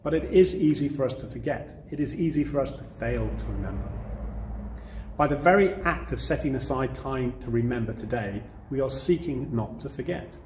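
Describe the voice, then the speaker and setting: A British man in his fifties giving a measured, deliberate sermon to camera, pausing for emphasis. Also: medium pitch, expressive, a little mellow. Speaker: Salvation Army officer preaching. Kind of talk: sermon to camera for online church service. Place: wood-panelled room indoors. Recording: roomy echo, clear background noise.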